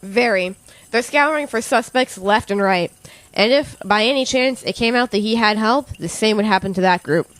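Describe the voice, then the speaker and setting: A woman's voice: medium pitch, moderate pace, no echo, close to an everyday voice. A woman playing a caring but stern college professor in an audio drama, proper and motherly. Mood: grave.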